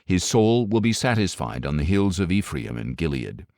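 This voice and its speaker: A man with a low, deep voice reads scripture as an audiobook narrator. His delivery is solemn and dramatic, with wide swings in pitch and measured, emphatic phrases that drop at their close. The recording is dry and close, without room sound. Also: very animated, darkish tone, no room sound.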